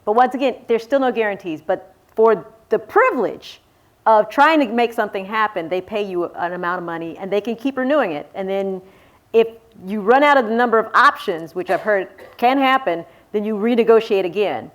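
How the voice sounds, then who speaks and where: A woman's voice: mid-range voice, expressive, brisk, fairly bright tone. A woman author speaking casually on a panel, explaining things in a chatty, folksy, informal way. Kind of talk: casual panel talk answering audience questions.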